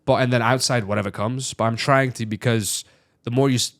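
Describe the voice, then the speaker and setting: A man from Transylvania whose first language is Hungarian, chatting as a comedian guest on a podcast, animated and quick to laugh. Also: mid-range voice, dry recording, clean studio sound. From Transylvania, Romania. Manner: animated, laughs often, rambling. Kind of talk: casual podcast chat.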